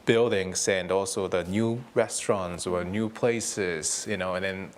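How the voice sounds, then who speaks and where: Low-pitched Japanese businessman in an interview. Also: fairly even intonation, a little slow, slight background noise. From Tokyo, Japan. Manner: informal, loosely phrased with repeated "actually" and "you know". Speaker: company founder and CEO being interviewed. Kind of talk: conversational interview answers.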